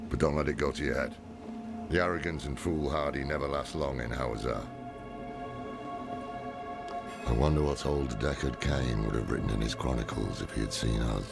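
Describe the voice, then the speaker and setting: An actor playing a world-weary veteran scholar-warrior in a dark fantasy game, measured and wry, with a gravelly voice. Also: male voice. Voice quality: deep, gravelly. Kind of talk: dramatic video game dialogue and narration. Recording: dramatic game music underneath.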